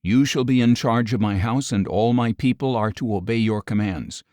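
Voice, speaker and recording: A man reading scripture aloud as a troubled, commanding king of ancient Egypt, measured and grave. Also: mid-range voice, a little mellow, no echo, clean studio sound, lightly acted.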